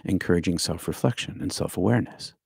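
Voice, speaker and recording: Older male spiritual teacher, low gravelly voice, reads from notes to camera in a home study on a stand mic. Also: fast, darkish tone, dry recording, clean studio sound. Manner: reads from notes, drops to near whisper for emphasis. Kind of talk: scripted spiritual talk to camera. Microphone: studio mic on stand with headphones.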